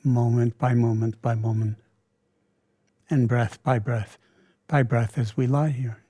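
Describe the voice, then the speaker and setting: Male meditation teacher for guided practice: relaxed, dark timbre, calm and very unhurried delivery with pauses mid-phrase. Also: medium pitch, no echo, studio quality.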